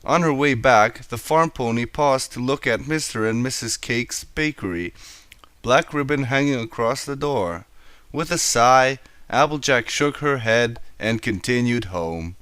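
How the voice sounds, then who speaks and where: A man's voice: medium pitch, expressive, unhurried, fairly bright tone, smooth, no room sound. A man narrating a scripted story as an amateur fan fiction reader. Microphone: home microphone.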